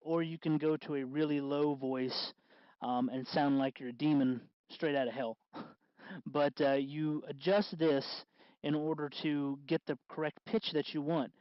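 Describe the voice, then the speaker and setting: A man hosting an informal hands-on electronics tutorial, explaining step by step in a halting, folksy way. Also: fairly high pitch, fairly even intonation, dry recording, lo-fi sound. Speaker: tutorial host.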